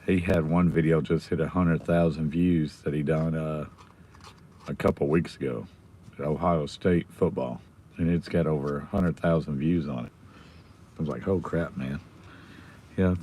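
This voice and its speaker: A middle-aged man with a hoarse, raspy voice, casually chatting with viewers on his live stream. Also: low voice, steady tone, normal speed, dark tone, slight background noise. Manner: rambling, chatting with viewers by name.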